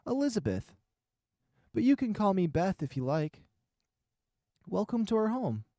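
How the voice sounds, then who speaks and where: A man voicing a timid, kindly woman host in a visual novel, speaking gently and hesitantly. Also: high-pitched, sing-song, unhurried, no echo, clean studio sound, pitched above the performer's own voice, full character voice. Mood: welcoming, hesitant. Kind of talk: visual novel character reading.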